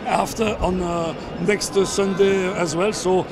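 Halting older man coach, high-pitched. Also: fairly even intonation, a crowd in the background. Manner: halting, many fillers and repeats, non-native phrasing. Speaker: football coach. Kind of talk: post-match press interview. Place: outdoors at a sponsor backdrop, surrounded by reporters. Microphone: cluster of handheld reporter mics and phones. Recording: noisy outdoor press scrum.

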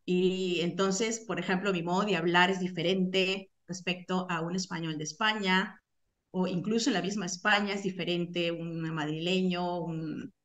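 Webinar presenter: middle-aged Peruvian woman, Peruvian Spanish accent, slightly low voice, halting explanatory delivery over a video call. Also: fairly even intonation, fairly bright tone. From Peru. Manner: hesitant, many fillers and self-corrections.

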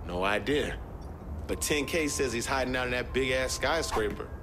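An actor playing a cocky, crude gang lieutenant in a video game. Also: male voice, medium pitch, moderate pace, noisy background, lightly acted. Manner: brash, swaggering, crude.

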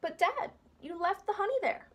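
A woman's voice: extremely high pitch, animated, moderate pace. A woman doing an eager little bear cub.

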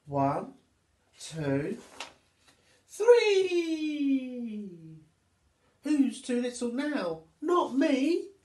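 A plucky little girl monkey from a storybook, played by a man reading aloud, high and very animated. She is brave and determined, exclaiming her lines emphatically in a roomy-sounding space. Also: extremely slow, long pauses, heavily acted. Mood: defiant.

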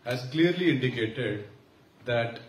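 A man with an Indian English accent giving a formal, measured speech at a conference, pausing with fillers. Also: medium pitch, lively intonation. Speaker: senior official giving keynote. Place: large conference hall. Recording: echoey hall from far off, faint hiss.

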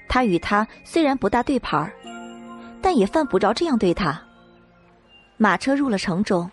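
A woman reading a dramatic novel as an audiobook narrator, in measured storytelling style. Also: mid-range voice.

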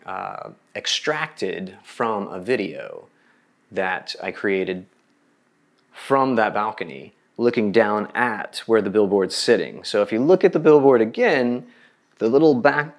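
A middle-aged man from Oklahoma with an American accent, telling his story as an artist in an interview. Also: lowish voice. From Oklahoma, United States. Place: bright room indoors.

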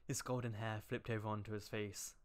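Male streamer, lowish pitch, sarcastic read-aloud with mocking asides and stumbles. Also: flat delivery, fairly quick. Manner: sarcastic, stumbling over words.

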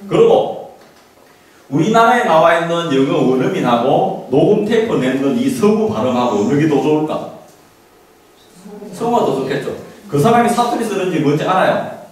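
A middle-aged man lectures informally in a very echoey classroom, picked up from a distance. His voice sits a little high and swings widely in pitch as he makes emphatic points and throws questions at his listeners. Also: moderate pace. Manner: animated, emphatic, asks rhetorical questions. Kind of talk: informal lecture to parents on study methods. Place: small classroom. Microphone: handheld mic, recorded by a camera at a distance. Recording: echoey room, distant mic, background noise.

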